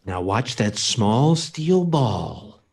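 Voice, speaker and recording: A man's voice: on the low side, very animated, drawn-out words, gravelly, noisy background, heavily acted. A man acting an earnest, principled scientist-inventor in an old-time radio play, speaking in a formal, emphatic, theatrical way. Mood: proud explaining.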